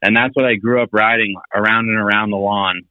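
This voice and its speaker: Low, laid-back man on a tinny line. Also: steady tone, fairly quick, no echo. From Finland. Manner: rambling, laid-back, lots of fillers. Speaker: guest. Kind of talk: casual podcast chat. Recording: tinny phone-like audio.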